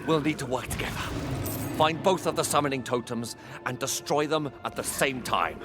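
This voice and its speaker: An actor playing an earnest, driven knight in a fantasy video game, with an urgent, emphatic delivery. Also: male voice, medium pitch, normal speed, over music, lightly acted. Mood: determined. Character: earnest, driven male knight, vengeful young warrior. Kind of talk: dramatic video game acting.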